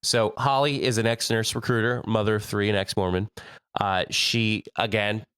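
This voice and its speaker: Congested American man with a smooth, low voice. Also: flat delivery, moderate pace, fairly bright tone, no room sound. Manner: chatty, laughs often, tells stories. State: stuffy, allergy-congested voice. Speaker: podcast co-host. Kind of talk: casual podcast chat.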